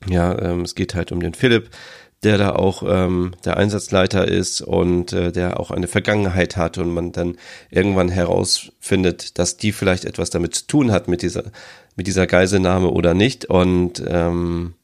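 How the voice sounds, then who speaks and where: Deep gravelly man with a cold. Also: level tone, normal speed, darkish tone. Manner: hesitant, many ums and uhs. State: has a cold. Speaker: podcast host. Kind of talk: casual solo podcast chat.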